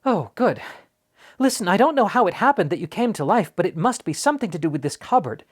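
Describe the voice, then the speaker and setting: A storybook reader voicing an eager, polite young boy who pleads and talks fast when excited. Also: medium pitch, pitched above the performer's own voice. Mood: pleading. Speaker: storybook character.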